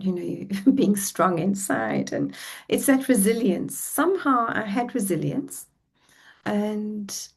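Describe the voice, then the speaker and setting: A woman's voice: mid-range voice, gravelly, darkish tone, husky. A middle-aged woman with a South African accent, telling her life story as a guest on a podcast in a reflective, heartfelt way. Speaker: relationship coach guest. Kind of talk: podcast interview.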